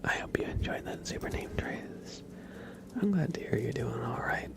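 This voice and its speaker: A man speaks in a soft, breathy whisper, as an ASMR host does when chatting to a live stream. Where his voice comes through it turns noticeably creaky. His delivery is gentle, casual and unhurried. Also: medium pitch, expressive.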